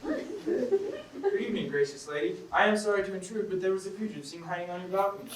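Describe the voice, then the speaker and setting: A man's voice: sits high, sing-song, brisk. A man on stage playing a brusque, formally courteous military officer in a classic comedy, with a commanding manner. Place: theatre stage, recorded from the audience. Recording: roomy, distant stage recording with hiss.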